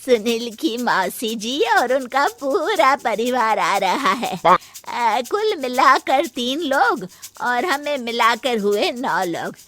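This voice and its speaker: A woman's voice: a little high, sing-song, slow, fairly bright tone, dry recording, faint music behind, full character voice. A woman voicing a bossy older mother-in-law in a cartoon story, giving household orders in a matter-of-fact way. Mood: instructing.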